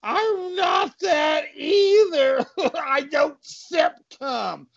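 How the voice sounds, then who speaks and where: A dim, childish cop in a comedy skit. The voice is pitched way up and dragged out very slowly, whining and wailing like an overgrown baby. The sound is a little dull and dry. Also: animated, no echo, heavily acted. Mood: defensive. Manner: wailing, slow, drawn-out delivery.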